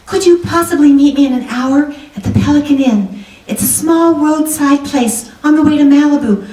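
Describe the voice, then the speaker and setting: Roomy, off-mic recording: actress as worried genteel young wife, high voice, polite and hesitant, old radio drama. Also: female voice, normal speed, mellow, lightly acted. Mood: worried, urgent. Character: anxious, genteel young married woman seeking a detective's help. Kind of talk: 1940s radio drama acting. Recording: roomy, distant microphone, music behind.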